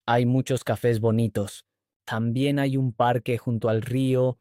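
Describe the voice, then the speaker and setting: Male host-teacher, mellow voice, even measured read, dry close sound. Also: medium pitch, no echo. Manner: clear, even, measured delivery. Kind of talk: slow scripted dialogue reading.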